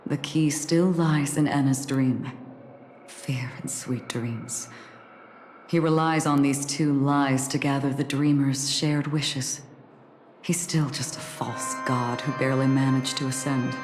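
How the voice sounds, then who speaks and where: A woman voicing a cool, authoritative strategist in a video game, with grave, deliberate delivery and solemn resolve. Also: a bass voice, steady tone, a little mellow. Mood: grave, analytical. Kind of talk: dramatic scripted game dialogue. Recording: roomy reverb over prominent music.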